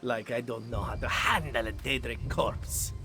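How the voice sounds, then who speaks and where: An actor playing a cocky, dismissive adventurer in a fantasy video game, mocking and brash. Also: male voice, mid-range voice, moderate pace, sharp tone, faint music behind, close to an everyday voice. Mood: mocking, curious. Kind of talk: video game character acting.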